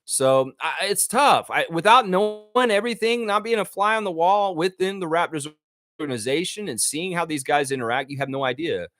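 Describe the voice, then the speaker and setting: A man's voice: fairly high pitch, lively intonation, moderate pace, fairly bright tone, faint music behind. A man hosting a live sports fan chat, talking informally and conversationally as he thinks aloud to his viewers.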